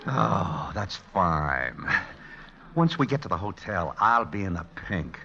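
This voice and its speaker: An actor playing a smooth-talking, flirtatious con man in a radio romantic comedy, stammering then recovering with wisecracks. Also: low voice, very animated, takes it slowly, full character voice. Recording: dull, muffled vintage radio recording.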